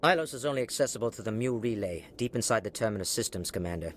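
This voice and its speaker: An actor playing a cautious, skeptical alien councilor in a video game, formal and emphatic, with music behind him. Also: male voice, lowish voice, expressive, fast, a little hiss or hum, close to an everyday voice. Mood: stern, cautious.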